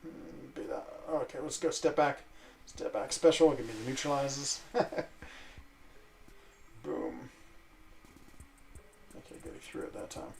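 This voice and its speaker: A man who grew up in Switzerland, chatting casually and rambling while he plays a video game, breaking off into quick exclamations. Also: medium pitch, creaky. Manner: rambling, self-interrupting chatter with fillers and sudden exclamations. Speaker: gaming streamer.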